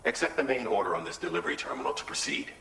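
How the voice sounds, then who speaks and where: A man's voice: lowish voice, very animated, very fast, creaky, noisy background. An actor playing a businesslike mission briefer in a video game, formal, precise and composed as he lays out orders.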